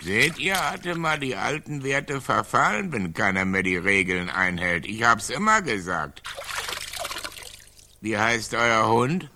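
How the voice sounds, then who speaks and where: An actor playing a quirky, chatty knight who grumbles about lost chivalry in a radio play. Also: male voice, fairly low pitch, big pitch swings, takes it slowly, sharp tone, slight background noise, heavily acted. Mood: grumbling. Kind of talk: German radio drama acting.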